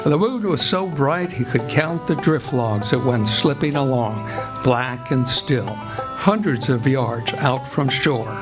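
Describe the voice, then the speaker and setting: A man narrating a story in a scripted radio play, in a measured storytelling manner. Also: mid-range voice, music in the background, lightly acted, rough recording. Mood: atmospheric. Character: storybook narrator of a river adventure. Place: live performance before an audience.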